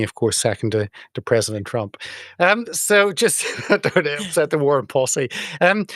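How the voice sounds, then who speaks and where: A man hosting a political chat show, talking in a halting, sarcastic way with many fillers. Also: mid-range voice, no room sound, clean studio sound. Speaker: show host.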